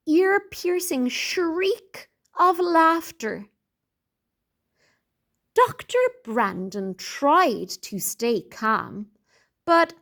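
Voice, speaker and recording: A woman teacher reading a children's storybook aloud in a deliberate, expressive way with clear phrasing. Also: high voice.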